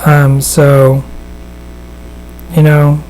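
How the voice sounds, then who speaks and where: A man with a somewhat higher voice and a gravelly rasp talks off the cuff while painting on a live stream. He rambles in a relaxed way, full of fillers and restarts. A faint electrical hum sits under the recording. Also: extremely slow, rough recording. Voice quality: raspy. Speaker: miniature painter and host. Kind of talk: casual live painting demonstration. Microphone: studio microphone at a desk.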